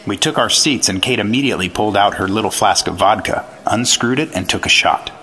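An actor playing an earnest, straight-laced 1950s FBI agent in a retro radio drama, matter-of-fact and clipped. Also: male voice, fairly low pitch, brisk, bright, forceful tone, some chatter behind. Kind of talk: retro radio drama acting with first-person narration.

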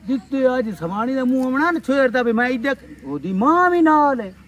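Guy playing cocky young village rival, high voice, rural punjabi accent, teasing, bit of outdoor noise. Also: expressive, fairly quick, a bit bright. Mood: boastful. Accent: rural Pakistani Punjabi. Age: young adult. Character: taunting, boastful young village rival in love. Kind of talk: village comedy drama acting. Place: outdoors in open grassy field. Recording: distant outdoor sound, faint ambient noise.

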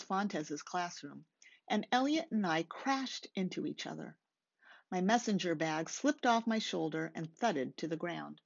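A middle-aged woman reading a first-person novel aloud in a measured way, recorded indoors on a webcam. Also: on the low side, creaky. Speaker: author reading from own novel. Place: small room, indoors. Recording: slightly dull webcam audio.

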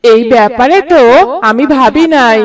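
A woman's voice: fairly high pitch, normal speed, recorded from a distance, close to an everyday voice. A woman playing a poor, loving village mother in a cartoon story, speaking earnestly. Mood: hopeful, scheming.

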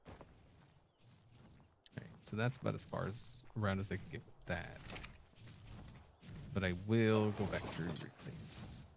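A man's voice: on the low side, fairly even intonation, a little slow, creaky, long pauses, noisy background. A man streaming a co-op survival game, chatting casually with jokey outbursts and laughs. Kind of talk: casual co-op gaming chat.

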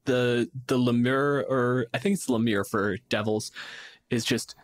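A man's voice: medium pitch, fairly even intonation, measured pace, no room sound, clean studio sound. A man co-hosting a podcast in casual, slangy, sweary chat, often acting out imagined lines. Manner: profane, slangy, acts out imagined quotes. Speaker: podcast co-host. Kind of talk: casual, animated chat about fantasy game monsters.

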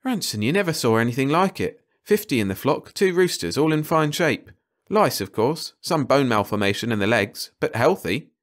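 A man voicing a brisk, enthusiastic, absent-minded ecologist in clipped phrases for an audiobook. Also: medium pitch, big pitch swings, pitched above the performer's own voice, close to an everyday voice. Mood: excited. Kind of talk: audiobook character dialogue.

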